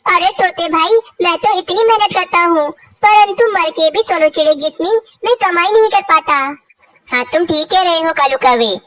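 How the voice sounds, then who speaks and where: Squeaky little cartoon bird, very high childlike pitch, exaggerated storybook delivery. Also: female voice, normal speed, a bit bright, no room sound. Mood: envious grumbling. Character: cartoon forest birds, scheming, envious and put-upon, squeaky and childlike. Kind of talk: Hindi children's moral story cartoon dialogue.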